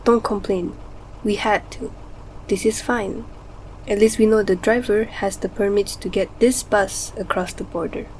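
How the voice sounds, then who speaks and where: A woman playing a protective, steady mother fleeing a war in a radio drama, instructing and reassuring. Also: medium pitch, measured pace, slight background noise, lightly acted, low-quality recording. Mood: calm, firm.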